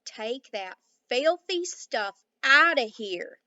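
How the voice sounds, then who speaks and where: Loud, drawling little cowboy with a put-on Texas twang, grumbling and self-pitying, as a teacher reads him out. The drawl is slowed and pushed, the sound dry and a bit dull. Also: female voice, on the high side, big pitch swings, no room sound, heavily acted. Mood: angry.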